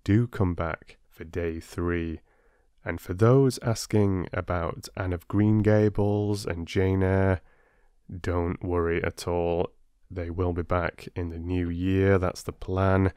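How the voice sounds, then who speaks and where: A man working as a storyteller and host, speaking with measured, deliberate phrasing as if reading to listeners. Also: low voice, fairly even intonation, darkish tone, clear, resonant tone, dry recording, studio quality. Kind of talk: expressive storybook narration and listener address.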